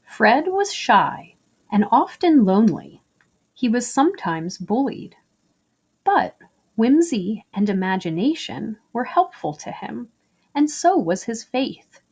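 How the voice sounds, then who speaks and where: A woman reads a picture book aloud for children at an unhurried, slow pace. Her pitch moves up and down freely, and she phrases each sentence deliberately, leaning on key words in an expressive storytelling style. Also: breathy edge.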